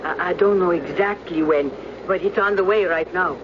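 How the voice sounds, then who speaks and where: An actor in a radio drama playing a nervous, hesitant messenger aboard a troubled airliner, halting and stammering. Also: male voice, sits high, lightly acted. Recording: dull, noisy old radio drama recording. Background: aircraft drone.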